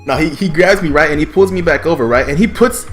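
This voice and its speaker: A man's voice: fairly high pitch, fast talker. Casual male storyteller, slangy, over music. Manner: profane, slangy, exclaiming and acting out quotes. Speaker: streamer telling a personal story.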